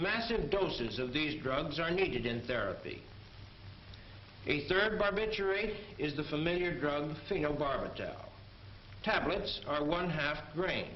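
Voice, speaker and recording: A man playing an army major who gives a precise, formal medical lecture in a 1940s training film. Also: on the high side. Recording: muffled, echoey, noisy 1940s film soundtrack.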